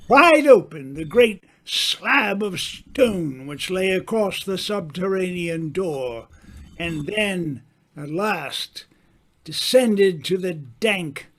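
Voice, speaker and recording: A man playing a grave mystery narrator in a radio drama, deliberate and full of dramatic pauses. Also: high-pitched, a bit bright, full character voice. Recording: faint background noise.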